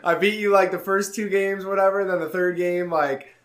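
Young dude hosting a podcast, kinda high voice, animated, swears a lot, says "like" every other word. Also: male voice, normal speed. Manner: animated storytelling, swearing, frequent "like". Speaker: podcast host. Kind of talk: casual chat.

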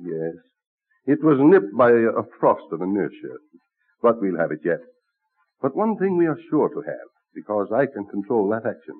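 A man's voice: on the low side, sing-song, normal speed, husky. An actor playing a genial colonial statesman, courtly, witty and persuasive, in vintage radio drama style. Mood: earnest. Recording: muffled, narrow-band old radio recording.

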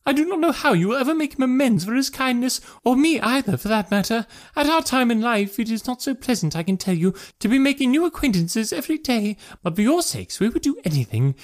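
A man voicing a fretful, gushing middle-aged mother in an audiobook, in a shrill, dramatic way. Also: very animated, brisk, hardly any pauses. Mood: delighted, gushing. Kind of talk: audiobook character voice.

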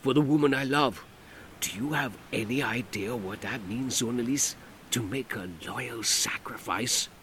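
A man's voice: mid-range voice, a little slow, a bit bright, a little hiss or hum, lightly acted. A man voicing a middle-aged Creole military veteran, loyal and violent, who spits menacing, contemptuous threats. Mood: fierce.

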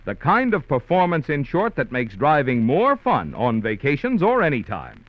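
A man's voice: fairly high pitch, wildly swooping pitch, moderate pace, no echo. A man announcing a scripted vintage radio advertisement with a polished salesman's delivery. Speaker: commercial announcer. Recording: muffled old radio recording.

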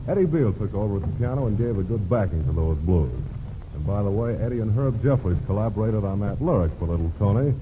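A man's voice: lowish voice, animated, fast, phone-quality sound. A man hosting an old swing radio show, announcing with scripted, showy, jive-talking patter. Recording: noisy band-limited old radio broadcast.

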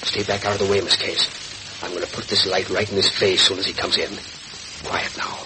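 An actor playing a gruff, bossy police sergeant who barks clipped orders, in 1940s radio drama style. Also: male voice, low voice, little pitch movement, a little fast, noisy background, close to an everyday voice. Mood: hushed, tense.

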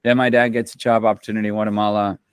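A man's voice: fairly low pitch, little pitch movement, rapid-fire, clear, resonant tone, no room sound, clean studio sound. A man who composes for film and television, talking in a loose, rambling way, full of slang and restarts, in a casual interview. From Central America.

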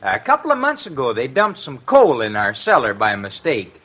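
An actor playing a homespun, sly neighbor in a classic radio comedy, with a hesitant, rambling way of talking. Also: male voice, mid-range voice, wildly swooping pitch, moderate pace, fairly bright tone, a little hiss or hum. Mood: slyly agreeable. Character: folksy, sly, neighborly man next door.

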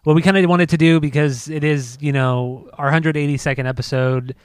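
A man's voice: on the high side, steady tone, brisk, smooth, no echo, clean studio sound. A man co-hosting a podcast, chatting casually and swearing while joking with his friend. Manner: laid-back, swearing, joking banter. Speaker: podcast co-host. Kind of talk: casual chat.

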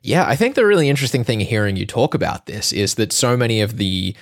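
A man's voice: medium pitch, sing-song, fast. A man hosting an interview, asking long questions in a fluent, conversational way with many casual fillers. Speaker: radio host and interviewer.

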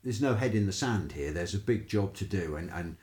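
Male podcast host, casual football talk, lower register, echoey room sound. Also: expressive, fairly quick, darkish tone. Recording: roomy, echoey voice pickup.

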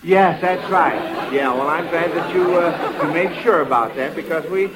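A man's voice: a little high, normal speed, heavy background noise. An American man hosting a 1940s radio quiz show for children in a folksy, avuncular manner. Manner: folksy, avuncular, addresses children as kids and son.

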